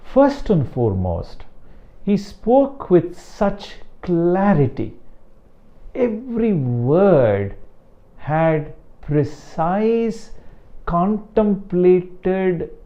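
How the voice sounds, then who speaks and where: An older man from South India with a Tamil-accented English, a meditation teacher speaking slowly and deliberately about his guru. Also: sits high, very animated, muffled recording. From Chennai, South India. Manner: slow, deliberate, emphatic on key words. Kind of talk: reflective talk to camera.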